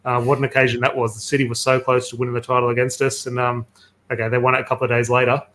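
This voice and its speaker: Fast-talking enthusiastic man hosting a podcast. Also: mid-range voice, monotone, fairly bright tone. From Australia. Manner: chatty, enthusiastic storytelling with fillers. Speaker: podcast host. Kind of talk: casual football podcast chat.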